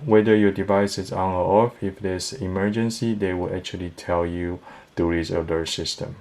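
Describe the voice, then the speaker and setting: A man's voice: low-pitched, a little mellow, a little hiss or hum. A man giving a casual product review, explaining things step by step in a hesitant way.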